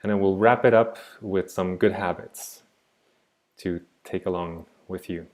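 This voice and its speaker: A man's voice: low-pitched, steady tone, measured pace. A man in his thirties from Switzerland, teaching an online art class in a reflective, digressive way over a laptop mic. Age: 30s. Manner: reflective, digressive, soft-spoken. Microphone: laptop mic on a video call.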